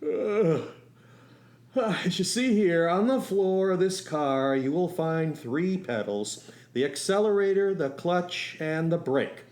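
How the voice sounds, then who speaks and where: A man plays an eager, romantic young fellow in a radio story. His voice sits a little high and swings widely in pitch. He tells things with folksy, wry humor. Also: animated, slow pace, darkish tone. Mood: wry, nostalgic. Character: young male driving instructor turned soldier, eager, wry, romantic. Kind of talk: first-person radio storytelling with acted dialogue.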